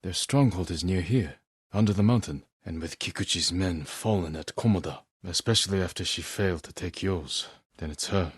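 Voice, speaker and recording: An actor playing a stoic, honorable samurai warrior in a video game, speaking in a measured, formal and grave way. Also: male voice, low voice, slightly rough, dry recording, clean studio sound. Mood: grave, questioning. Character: stoic, honorable samurai warrior, adult man, solemn and protective. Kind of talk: dramatic video game acting.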